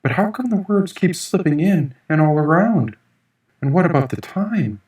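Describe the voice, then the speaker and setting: Man playing a dazed tripper, raised pitch, rambling questions, full of wonder, audiobook character, echoey room. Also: expressive, moderate pace, darkish tone, close to an everyday voice. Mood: musing, puzzled. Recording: echoey room, distant mic.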